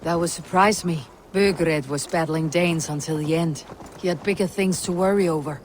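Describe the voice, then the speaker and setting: Tough Viking woman, voiced by an actress: blunt, steady delivery over game score. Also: low-pitched, moderate pace, noisy background, close to an everyday voice. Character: tough female Viking warrior, calm and blunt. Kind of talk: video game cutscene acting. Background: orchestral game music.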